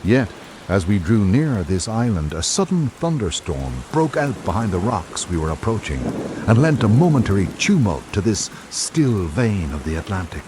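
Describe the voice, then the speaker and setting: An actor playing a reflective literary traveller, narrating a radio drama in a measured, formal way. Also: male voice, on the low side, very animated, background noise. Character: reflective literary traveller recalling island life. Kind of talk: scripted first-person narration.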